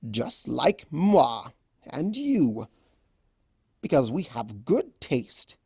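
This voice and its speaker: A performer voicing a male chaos creature, a smug and theatrical trickster who teases in drawn-out phrases, for a story reading. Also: medium pitch, very animated, gravelly, warm tone, slightly rough, no room sound, studio quality, heavily acted. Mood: proud, smug. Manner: drawn-out, theatrical, teasing delivery.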